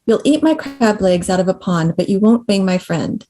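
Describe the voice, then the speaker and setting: An actress reads a rich, demanding woman with a mellow, medium-pitched voice. She is cool and entitled, stating her wishes bluntly as if nothing needs explaining. It is a drama table read. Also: lively intonation, moderate pace, natural voice. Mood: impatient. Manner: blunt, matter-of-fact.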